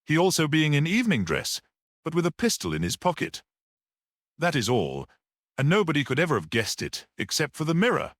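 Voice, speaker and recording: A man voicing a mild, perceptive priest-detective in a story, grave, measured and almost dreamy as he reasons. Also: on the high side, wildly swooping pitch, fairly bright tone, dry recording, clean studio sound, pitched above the performer's own voice. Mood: unruffled monologue.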